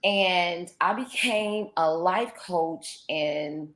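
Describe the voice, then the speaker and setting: A woman in her forties with a low voice talks about her life in an enthusiastic, casual way. She is a life coach and teacher on a video call. Her voice carries a roomy echo. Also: drawn-out words, a bit bright. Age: 40s. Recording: echoey room.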